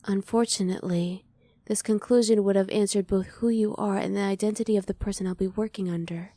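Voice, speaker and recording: A woman voicing a curious, emotionally reserved alien scientist in an ASMR roleplay, speaking softly in measured, formal phrases. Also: mid-range voice, steady tone, no room sound, close to an everyday voice. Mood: apologetic, restrained. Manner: soft, measured, formal, restrained. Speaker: voice actor.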